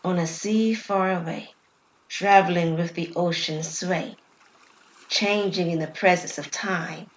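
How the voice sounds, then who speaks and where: A woman voicing a gentle, reserved young man, a shy suitor in an audio drama. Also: on the low side, steady tone, slow, poor audio. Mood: quiet reading.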